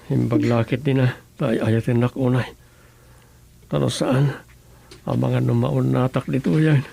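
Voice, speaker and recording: Man acting a gravelly, ailing Ilocano husband. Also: medium pitch, fairly even intonation, a little slow, warm tone, full character voice. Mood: feeble, affectionate. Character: ailing, groaning husband waiting for the doctor. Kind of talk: Filipino radio drama-comedy acting.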